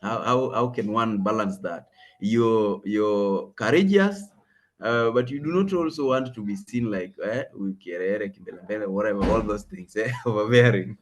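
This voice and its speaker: A man with a Kenyan accent hosting a talk session conversationally, halting and repeating words for emphasis. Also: fairly low pitch. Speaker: session host and moderator. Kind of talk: conversational moderating of an online talk.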